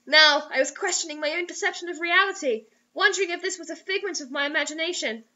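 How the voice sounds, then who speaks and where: Young woman, high voice, hamming up a dramatic read-aloud with wry asides; lo-fi webcam recording. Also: fast, pushed and bright. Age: young adult. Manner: theatrical, overwrought. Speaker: co-host reading bad fiction aloud. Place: small indoor room. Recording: dull lo-fi webcam sound.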